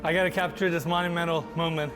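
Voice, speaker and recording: A young man whose first language is Turkish, hosting a travel vlog with excited on-location chat and reflective voice-over. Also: on the high side, fast. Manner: excitable, exclaims and laughs while crawling through tight spaces. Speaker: host. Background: background music.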